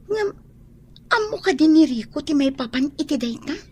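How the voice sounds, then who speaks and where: An actress playing a nosy, teasing but protective young best friend in a radio drama. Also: female voice, sits high, lively intonation, moderate pace, a little mellow. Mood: urging. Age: young adult. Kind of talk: Ilocano radio drama acting.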